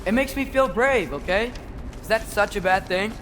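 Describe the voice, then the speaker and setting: A man's voice: high voice, moderate pace, music in the background, close to an everyday voice. A young man acting a headstrong, earnest teenage boy hero in a cinematic video game. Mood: earnest, defensive. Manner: defiant, earnest.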